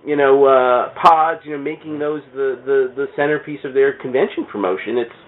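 A man's voice: mid-range voice, normal speed, narrow, tinny audio. A man talking in a casual, riffing podcast chat, acting out imagined thoughts as he goes. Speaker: podcast guest. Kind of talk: casual comic-book chat.

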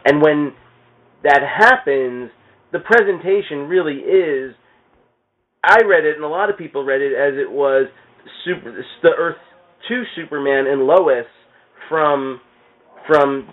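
High-voiced man, rambling, on a phone-like recording. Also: measured pace, long pauses. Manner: animated, rambling, repeats phrases while thinking aloud. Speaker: podcast guest. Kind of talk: casual comics fan chat.

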